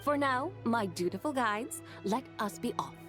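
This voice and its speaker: A woman playing a serene, mystical adept in a video game, speaking in formal, old-fashioned, measured phrases. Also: on the high side, lively intonation, a bit bright. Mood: solemn, knowing. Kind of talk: video game character acting. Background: game music.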